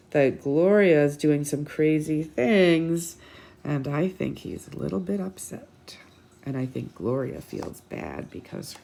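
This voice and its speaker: Woman, storytime host, low voice, unhurried, dramatic picture-book reading, teacherly. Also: mellow. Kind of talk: expressive picture-book read-aloud with asides to children.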